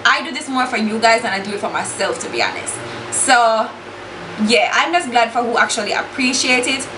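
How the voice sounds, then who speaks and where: Young Jamaican woman vlogger, blunt and exasperated, higher voice, echoey room with steady hiss. Casual makeup chat. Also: brisk. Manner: blunt and exasperated, swears freely, slips into patois. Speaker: beauty YouTuber.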